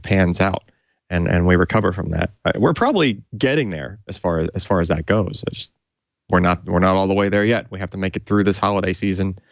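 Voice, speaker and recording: A man's voice: deep voice, gravelly, no room sound, dull audio. A man co-hosting a tech podcast, giving casual commentary in a rambling, conversational way. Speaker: podcast co-host.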